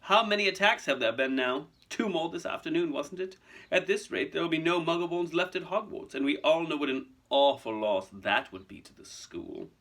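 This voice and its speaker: Man acting a smooth, sneering aristocratic villain. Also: on the high side, moderate pace, fairly bright tone, pitched above the performer's own voice. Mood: silky menace. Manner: lazy, smooth, mock-polite. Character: cold, sneering, smug aristocratic male villain.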